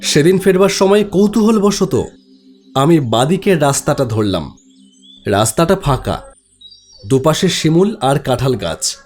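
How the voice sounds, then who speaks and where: A man's voice: on the high side, sing-song, unhurried, pauses often. A man giving a dramatic, scripted first-person narration of a horror story. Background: background music.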